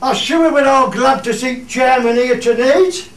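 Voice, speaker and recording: Actor as very high-voiced Cumbrian fell farmer. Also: male voice, a loud crowd. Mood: folksy, jocular. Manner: halting, folksy storytelling. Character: plain-spoken, jocular male Cumbrian fell farmer. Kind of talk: dialect radio play acting. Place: theatre studio. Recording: echoey room, distant mic, faint noise.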